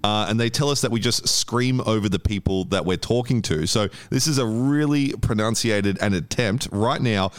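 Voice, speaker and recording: A man's voice: on the low side, moderate pace, few pauses, no echo, clean studio sound. A man hosting a comedy radio show, rambling and self-mocking in casual banter.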